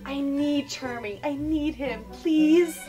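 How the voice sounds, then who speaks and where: Catty, high-voiced wicked fairy-tale stepsister. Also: female voice, slow pace, distant mic, over music. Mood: catty, preening. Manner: exaggerated mock-sweet and mean. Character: catty, preening wicked stepfamily women of Cinderella. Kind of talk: broad comic stage fairy-tale acting.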